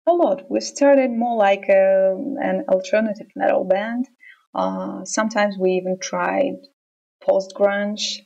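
Russian-accented woman, band interviewee, slow and measured, mellow gravelly tone. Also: mid-range voice, steady tone, lo-fi sound. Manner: measured, hesitant with frequent ums. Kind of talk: casual interview answers.

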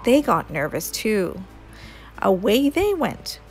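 A woman reading a children's story aloud as an expressive storyteller. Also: medium pitch, takes it slowly, husky, soft background music.

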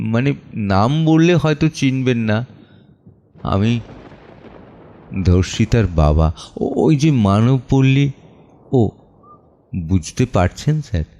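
A man acting a middle-aged, grief-stricken father in an audio play, halting and stammering as he speaks with humble, deferential pleading. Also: fairly low pitch, warm tone, slight background noise. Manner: halting, stammering, long pauses. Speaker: actor in an audio drama. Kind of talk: dramatic monologue in an audio play.

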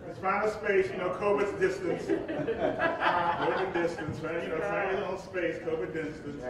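A man's voice: high voice, measured pace, recorded from a distance. A man giving casual, bossy instructions to a group, repeating himself as he organizes them. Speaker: organizer directing a group photo. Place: large hall, away from the mic. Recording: very echoey hall from afar, crowd.

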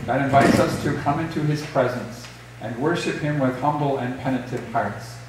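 A middle-aged man leads a church service in a slow, solemn liturgical cadence. His voice sits in a middle register. It reaches the microphone from a distance in a strongly echoing sanctuary, over a faint hum. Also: medium pitch. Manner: measured, solemn liturgical delivery. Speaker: pastor. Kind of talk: liturgy and scripture reading. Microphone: distant camera, later lectern mic.

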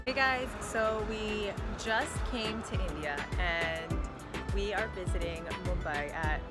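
A woman's voice: mid-range voice, takes it slowly. A young woman in her twenties chatting casually in a travel vlog, teasing and bubbly, mixing in Hindi phrases. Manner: teasing, bubbly, switches between English and Hindi. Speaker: vlogger. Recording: loud crowd chatter and music.

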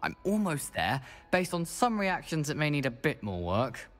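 Affable British wizard schoolboy, lively. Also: male voice, fairly high pitch, normal speed. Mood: confiding, easygoing. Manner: friendly, informal. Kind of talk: video game character dialogue. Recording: music behind the voice.

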